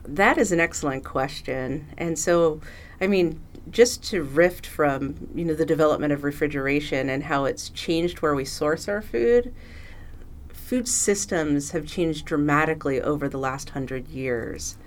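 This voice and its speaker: A woman's voice: sits low, lively intonation, unhurried, a little hiss or hum. A woman from Pennsylvania, a philosophy professor, talking in an interview in a chatty, enthusiastic way with lots of fillers and small laughs. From Pennsylvania, USA. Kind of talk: conversational interview.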